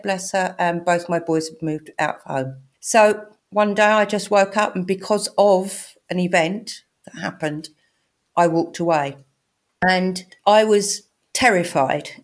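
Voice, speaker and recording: An older woman tells her own story in a frank, straight-talking way. Her voice is croaky and sits fairly low. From England. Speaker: guest. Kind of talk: personal storytelling.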